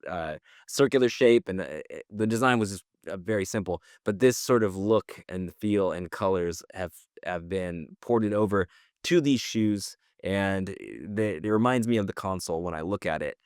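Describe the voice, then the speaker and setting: A man's voice: deep voice, moderate pace, a little mellow, smooth, dry recording, clean studio sound. A man co-hosting a podcast in casual conversation, giving slangy opinions and thinking aloud. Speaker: podcast co-host.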